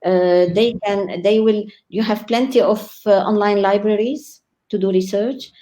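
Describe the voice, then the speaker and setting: A woman born in Lebanon gives an online interview as a guest scholar. She sounds eager and friendly, often pausing to search for words. Her voice sits at an ordinary middle pitch. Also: fairly even intonation. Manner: warm, enthusiastic, frequent laughs and hesitations.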